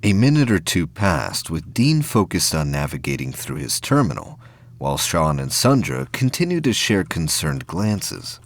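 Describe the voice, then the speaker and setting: A man's voice: deep voice, very animated, normal speed, dry recording. A man reading scripted narration as the narrator of an audio drama.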